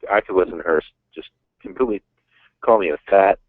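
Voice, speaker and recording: A man's voice: low voice, fast talker, dry recording. A man in his thirties calling in, chatting casually and frankly about himself with some self-mockery. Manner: frank, self-deprecating, rambling. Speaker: caller. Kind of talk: casual chat. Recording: dull phone line.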